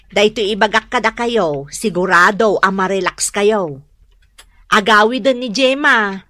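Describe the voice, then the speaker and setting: A woman's voice: medium pitch, a little slow, sharp tone, no room sound. A woman playing an exasperated grown daughter of cranky elderly parents, with an Ilocano accent, in a comedic radio drama.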